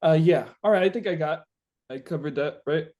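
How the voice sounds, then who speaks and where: A man with a fairly high voice talks casually as an interviewed musician. He thinks aloud, stopping and starting as he works toward his point, and leans often on the word "like". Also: dark tone. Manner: halting, thinking aloud, frequent "like".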